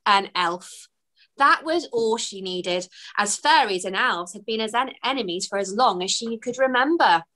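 A woman's voice: mid-range voice, measured pace, poor audio. A woman, an author reading her children's book aloud expressively, over a webcam from a home room. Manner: expressive, storytelling. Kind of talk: children's read-aloud narration. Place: home room with bookshelves, indoors.

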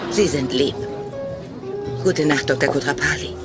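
A woman's voice: sits low, unhurried, over loud music. A woman playing a friendly, wry single mother in German-dubbed sitcom dialogue.

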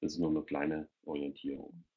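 A man's voice: a bass voice, level tone, normal speed. A middle-aged man giving explanatory answers as a panelist in an online Q&A, speaking through a headset. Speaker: audio producer for children, panelist. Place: indoors at home, video call. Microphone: headset on a video call. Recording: dull, lo-fi video call audio.

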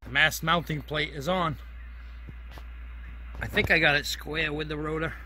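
A man's voice: on the high side, fairly even intonation, a little slow, bright, forceful tone, slight background noise, low-quality recording. A man giving a plain-spoken, casual step-by-step narration of a hands-on DIY project as he films it. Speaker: DIY hobbyist video host.

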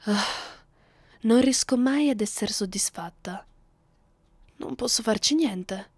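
A woman's voice: on the high side, takes it slowly, husky, no echo, exaggerated voice. A woman playing a cruel, seductive vampire mistress in an ASMR roleplay, speaking commandingly and often close to a whisper. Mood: indulgent, hungry. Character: domineering, cruel, seductive vampire mistress addressing her human captive.

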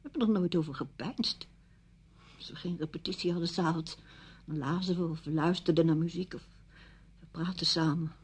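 An actress playing a proud, grieving middle-aged widow in a radio play, sardonic, weary and defensive. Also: female voice, lowish voice, steady tone, a little fast, long pauses, breathy edge. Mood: weary, dismissive. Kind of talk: Dutch radio play acting.

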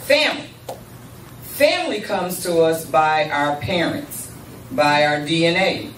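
An older woman speaks slowly and deliberately into a handheld mic in a church sanctuary. Her voice sits a little high. The sound is roomy and picked up from a distance. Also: slight background noise. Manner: measured, deliberate, with congregation responses. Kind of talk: spoken address at a church service. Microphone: handheld microphone. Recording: echoey room, camera far off.

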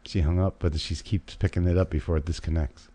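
A man's voice: low voice, steady tone, fairly quick, mellow. A middle-aged man hosting live prank calls, commenting casually into a close studio microphone. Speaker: prank call show host. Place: small indoor home studio. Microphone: studio condenser mic on boom arm, close.

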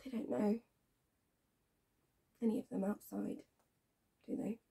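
A woman acting a dramatic monologue as a bitter, wounded young woman, halting and repeating her phrases, with a breathy, husky voice. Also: medium pitch, steady tone, heavily acted. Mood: hushed, tense. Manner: halting, repeats phrases, swings between hushed and intense.

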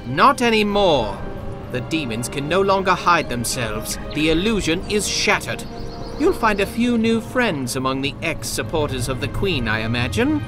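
An actor playing a witty, confident desert wizard in a video game, with a breezy, theatrical, wry delivery. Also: male voice, fairly high pitch, sing-song, moderate pace, music in the background. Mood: brisk, confident. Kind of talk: video game cutscene acting.

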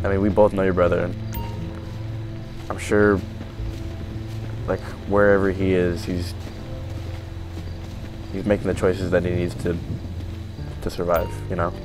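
A man's voice: low voice, level tone, moderate pace, long pauses, music in the background, close to an everyday voice. A man playing a reassuring, hesitant survivor of a zombie apocalypse in an improvised game roleplay. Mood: earnest, reassuring.

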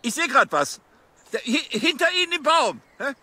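A man's voice: extremely high pitch, sing-song, normal speed, pushed and bright, no room sound, exaggerated voice. An actor playing a fussy, self-important policeman in a radio drama, stammering and tagging questions onto sentences. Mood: flustered, excited. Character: fussy, blustering male police officer, overeager and self-important.

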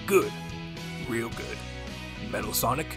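A man voicing a tough, decisive echidna warrior in a comic dub, with a creaky voice. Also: medium pitch, lively intonation, slow pace, over music. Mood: subdued. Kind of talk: comic dub hero acting.

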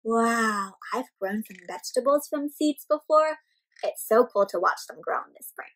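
A young woman reading a picture book aloud to young children in an animated, expressive way, often putting questions to the listeners. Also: fairly high pitch, normal speed, loud music behind the voice, low-quality recording. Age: young adult. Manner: animated, questioning, addressing young viewers as friends; exaggerated expressive delivery with gasps and stretched words. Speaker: children's read-aloud storyteller. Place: indoor room with houseplants.

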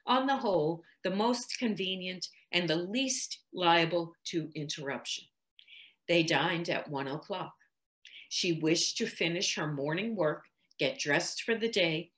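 An older woman reading a literary story aloud in an expressive, measured, articulate manner. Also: lowish voice. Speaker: reader.

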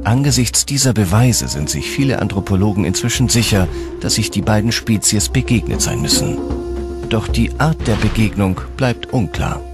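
A man's voice: lowish voice, expressive, normal speed, loud music behind the voice. A man reading scripted documentary narration in as the narrator.

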